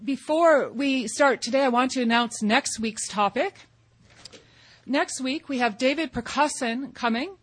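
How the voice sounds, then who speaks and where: Woman, forum moderator, slightly high voice, businesslike announcements. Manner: businesslike, with restarts.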